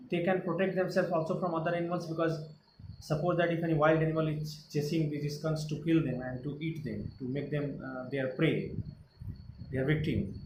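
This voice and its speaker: Indian English male teacher, slightly high voice, earnest and halting, echoey room with noise. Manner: earnest, repetitive, with frequent hesitations. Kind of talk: explaining a textbook chapter.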